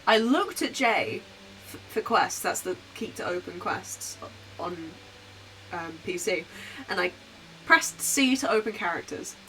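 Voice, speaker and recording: A woman's voice: mid-range voice, expressive, unhurried, sharp tone, rough recording. A woman doing casual livestream game commentary, muttering asides to herself as she plays. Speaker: game streamer. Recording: stream voice with game music behind. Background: game music.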